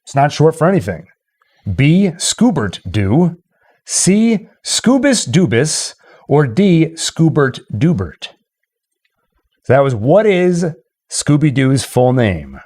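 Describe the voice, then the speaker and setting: A man's voice: a little high, big pitch swings, slow pace, darkish tone. A man hosting a casual livestream quiz, playful and thinking aloud as he goes. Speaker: host and trivia quizmaster.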